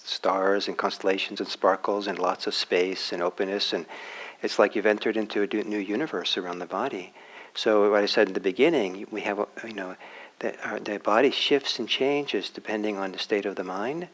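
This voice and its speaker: An older man, a soft-spoken meditation teacher, answering a question in a gentle, halting way with many restarts. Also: lowish voice, low-quality recording. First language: German. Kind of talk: dharma talk answering questions. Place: meditation hall with a group.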